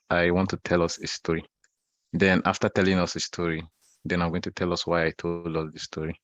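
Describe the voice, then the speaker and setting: A man's voice: low voice, dry recording. A young Nigerian man in his twenties giving an online talk, telling a personal story in a conversational way, often repeating and restarting his phrases. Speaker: guest speaker. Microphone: video call.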